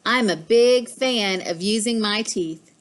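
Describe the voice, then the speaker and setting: A woman's voice: medium pitch, slow pace, lightly acted. A woman voicing a smug, mischievous pet cat in a storybook, with a boastful, emphatic delivery. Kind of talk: storybook read-aloud.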